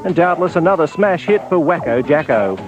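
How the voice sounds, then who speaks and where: Aussie tv reporter doing a cheeky voiceover, bit high, big music behind him. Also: male voice, lively intonation, fairly quick, dull audio. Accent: Australian. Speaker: TV news reporter. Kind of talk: scripted news report voice-over. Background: loud music.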